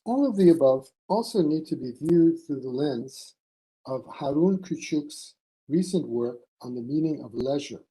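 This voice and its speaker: A man delivering a formal academic conference paper from a prepared text, in measured, deliberate phrases. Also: on the high side, animated, mellow, rough recording. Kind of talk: formal scholarly lecture read from a prepared text.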